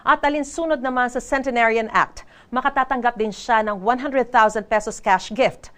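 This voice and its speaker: High-voiced Filipino woman reading news. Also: fairly even intonation, moderate pace, a bit bright. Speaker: news reporter. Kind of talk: scripted news narration.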